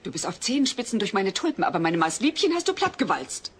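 A woman's voice: mid-range voice, very animated, fast talker, a little hiss or hum, natural voice. A woman playing an exasperated suburban mother in a dubbed sitcom, dry and reproachful.

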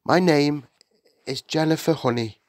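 This is a man acting a young female teacher from a storybook. She speaks in a raised pitch with slow, careful phrasing, courteous yet resolute. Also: no room sound, clean studio sound, lightly acted. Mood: composed, earnest. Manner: earnest, polite, firm. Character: slim young woman schoolteacher, polite but resolute.

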